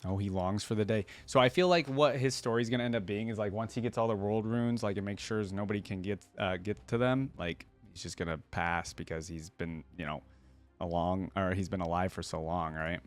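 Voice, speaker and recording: A man's voice: fairly low pitch, a little fast, faint music behind. A man hosting a reaction video, commenting casually with brief, musing interjections and repeating bits of what he hears.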